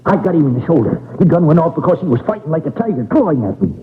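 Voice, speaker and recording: A jittery male crook from an old radio play, defensive and stammering. His voice sits high and swings widely, squeezed through a tinny, phone-like recording. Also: very animated, rapid-fire. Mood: defiant. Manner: stammering, indignant. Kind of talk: radio mystery drama acting.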